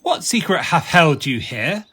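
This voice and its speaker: An actor playing a gracious, jovial prince with courtly, declamatory speech in a Shakespearean audio play. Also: male voice, on the high side, sing-song, a little slow, bright, forceful tone, slightly rough, heavily acted. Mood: jovial command. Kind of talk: Shakespearean audio drama acting.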